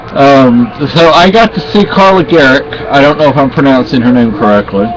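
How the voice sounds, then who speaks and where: A man's voice: mid-range voice, moderate pace, rough recording. Rambling middle-aged man vlogging. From Spain. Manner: rambling, self-correcting. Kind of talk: casual chat.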